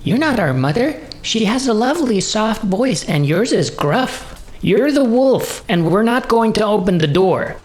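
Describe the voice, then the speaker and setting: Storybook baby goat: much raised pitch, childlike, stubborn and refusing. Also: male voice, sing-song, unhurried, a little hiss or hum. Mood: defiant. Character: seven young goat kids, childlike and defiant.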